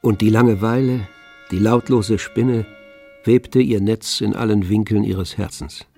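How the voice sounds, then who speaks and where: Gravelly male radio drama narrator. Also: on the low side, steady tone, a little slow, warm tone, faint music behind. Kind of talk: scripted literary narration for a radio play.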